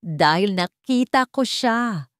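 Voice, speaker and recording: A man's voice: sits high, animated, slow, fairly bright tone, clean tone, no echo, clean studio sound, voice pushed up, full character voice. A woman voicing an anguished, guilty young man confessing in a pleading, breaking voice in an audio love drama. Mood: anguished shouting.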